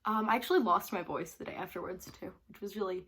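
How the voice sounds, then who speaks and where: A woman's voice: medium pitch, fast talker, fairly bright tone, husky. A teenage girl vlogger casually recounting a story to camera, bubbly and rambling, with frequent "like" fillers and giggles between phrases. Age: teens.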